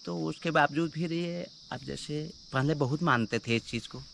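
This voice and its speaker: A man's voice: on the high side, normal speed, vocal fry, a bit bright, a little hiss or hum. A man in his forties from the hills of Uttarakhand, a native Kumaoni speaker with a Kumaoni accent, chatting casually in an earnest, rambling, philosophical way. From Uttarakhand hills, India. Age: 40s. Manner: rambling, earnest, philosophical, switches into hill dialect. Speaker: local villager being interviewed. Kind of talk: casual conversation. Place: outdoors in a hill village.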